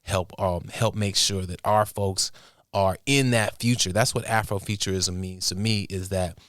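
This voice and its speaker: A man with a fairly low voice speaks as an interview guest. He thinks aloud in halting, measured phrases, giving considered conversational answers. The sound is close and dry. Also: fairly even intonation, fairly bright tone, no room sound. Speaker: interview guest, music producer and inventor.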